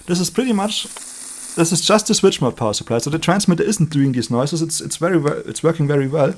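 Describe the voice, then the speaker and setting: Higher-voiced man thinking aloud. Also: expressive, fast talker, a little hiss or hum. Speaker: electronics hobbyist presenter. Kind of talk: unscripted hands-on electronics demonstration.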